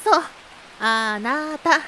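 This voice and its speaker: A woman's voice: sits high, very slow, bright, forceful tone, slight background noise, full character voice, low-quality recording. A woman voicing a teasing, seductive, spiteful adult woman in a Japanese audio drama, with a coy, taunting delivery. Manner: coy, taunting, breathy giggles between phrases.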